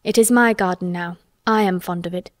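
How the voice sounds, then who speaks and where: A lordly young boy , as a woman reader plays him, decisive and commanding, with an excitable edge. It is storybook character acting in a dry, close recording. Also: lowish voice, brisk, no room sound, natural voice.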